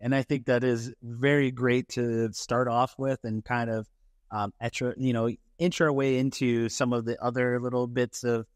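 A man's voice: mid-range voice, little pitch movement, no echo, studio quality. A young man hosting a podcast, asking rambling questions with many fillers in an office. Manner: halting, filler-heavy questions. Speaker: podcast host. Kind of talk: casual interview. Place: bright office with a lounge set.

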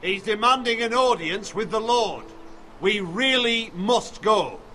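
An actor playing a stern middle-aged soldier in a fantasy video game, firm and curt. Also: male voice, high-pitched, lively intonation, unhurried, bright, forceful tone, a little hiss or hum, heavily acted. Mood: urgent. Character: stern, defiant middle-aged man-at-arms advising his young lord. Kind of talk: dramatic video game acting.

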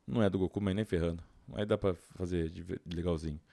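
Deep-voiced Brazilian man, casual gamer. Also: fairly even intonation, fast, creaky, warm tone, no room sound. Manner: informal, slangy, thinking aloud. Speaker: gaming YouTuber. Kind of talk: casual gameplay commentary.

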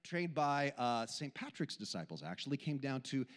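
An older man from Switzerland, Swiss German his first language, giving a humorous sermon with animated, self-deprecating storytelling into a handheld mic on an indoor stage. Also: medium pitch, moderate pace. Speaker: camp speaker. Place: indoor hall stage with audience. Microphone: handheld microphone. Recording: long hall reverb.

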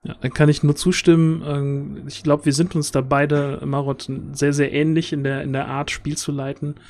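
Man with higher-pitched voice, halting, thoughtful. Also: flat delivery, darkish tone. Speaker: tabletop role-play streamer and player. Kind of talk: casual chat, giving feedback after a game. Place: indoors on a group video call.

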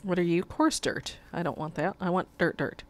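A woman's voice: on the low side, normal speed, slight background noise. A woman with a cold playing a video game, reading the quest text aloud and swearing at her mishaps as she plays. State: has a cold, sucking a cough drop. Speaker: let's play gamer. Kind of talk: casual gameplay commentary.

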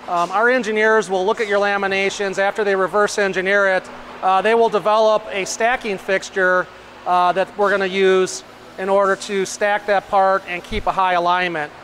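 A man's voice: high voice, level tone, normal speed, fairly bright tone, a little hiss or hum. A middle-aged man explaining processes on a factory tour, matter-of-fact, with frequent ums and uhs, recorded on a lapel mic in a large machine shop. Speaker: company manager giving a shop tour.

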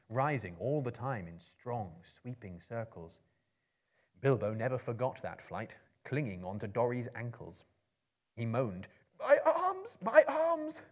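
A man in his thirties giving a measured, theatrical reading of a story as a performer. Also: lowish voice. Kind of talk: dramatic literary reading to a live audience. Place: indoor book-lined room, seated in an armchair.

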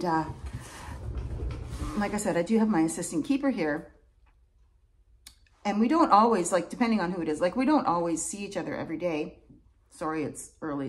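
Chatty, low-voiced woman vlogging at home. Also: a little mellow. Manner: chatty, rambling, self-interrupting. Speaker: lighthouse keeper. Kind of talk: casual vlog chat to camera. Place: home kitchen indoors. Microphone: phone camera on a table.

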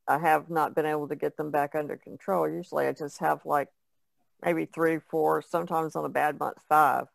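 A woman chats casually as a podcast co-host. Her voice has noticeable vocal fry running through it. The sound is dry and free of echo. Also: low voice, steady tone, normal speed. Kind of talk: casual chat.

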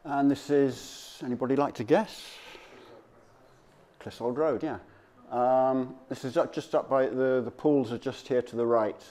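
Man giving a halting, informal slide talk. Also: mid-range voice, fairly even intonation, dark tone. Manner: halting, conversational, off-the-cuff. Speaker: local history speaker.

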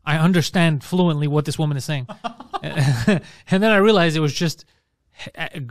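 A man's voice: a little high, moderate pace, no echo. A man in his forties co-hosting a podcast, chatting and teasing in a small studio on a studio mic. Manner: animated, teasing, swears freely. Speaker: podcast co-host. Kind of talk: casual comedic banter. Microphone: studio mic on boom, headphones.

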